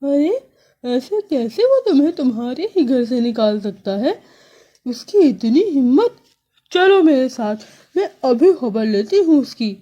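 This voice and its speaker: A brave female bulbul , voiced by a woman narrator, who stands up for her friend in a raised, firm, indignant voice. The tone is warm and mellow. The recording sounds dull and lo-fi. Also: high-pitched, lively intonation, voice pushed up. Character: brave loyal female bulbul bird, quick to anger.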